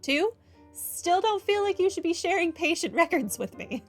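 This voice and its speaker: A woman's voice: extremely high pitch, big pitch swings, normal speed. A woman gaming host commenting on a playthrough in a musing, conversational, opinionated way. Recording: game music behind the voice. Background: game music.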